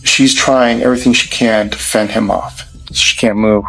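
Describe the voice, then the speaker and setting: A man's voice: fairly low pitch, steady tone, moderate pace, vocal fry, bright, forceful tone, faint music behind, low-quality recording. A man describing events in short, plain statements.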